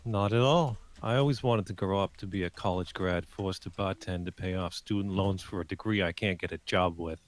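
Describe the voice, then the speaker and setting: A man's voice: low-pitched, a little fast, few pauses, dry recording, natural voice. A man playing a wry, teasing young female bartender in a screenplay table read.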